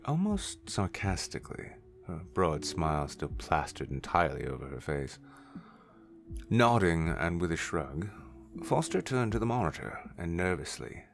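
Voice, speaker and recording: A man's voice: extremely low pitch, gravelly. A man reading scripted narration for a science fiction story. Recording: music under the voice.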